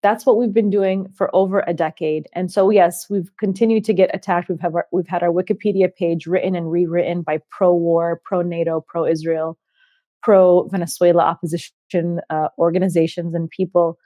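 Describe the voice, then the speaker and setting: A woman hosting an interview, speaking in an impassioned, measured way with frequent fillers. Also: lowish voice, fairly even intonation, dark tone, no room sound. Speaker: host. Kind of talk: interview discussion.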